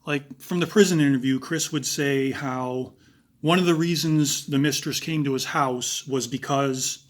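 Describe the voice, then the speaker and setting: A man with a raspy voice walks through a case in a relaxed commentary style. He thinks aloud, pausing and filling gaps as he goes. His pitch sits in an ordinary middle range. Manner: casual, thoughtful, with frequent pauses and fillers. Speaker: true crime commentator. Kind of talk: analytical video commentary.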